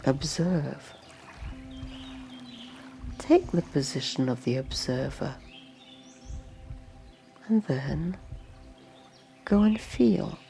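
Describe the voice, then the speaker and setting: A woman leads a guided meditation in a very slow, soft-edged mellow voice. There is a noticeable creak in her tone, and she leaves space between short, gentle phrases. Faint music plays behind her. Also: low voice, lively intonation. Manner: gentle, unhurried instructions in short phrases with long pauses. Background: music and nature sounds.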